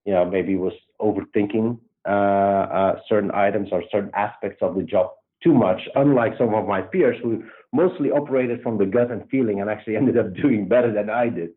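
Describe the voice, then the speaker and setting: A man's voice: fairly low pitch, normal speed, hardly any pauses, slightly rough. A man with a Belgian accent, a startup founder talking casually as a guest, hesitant with many fillers and restarts. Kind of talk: casual interview. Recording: tinny phone-like line.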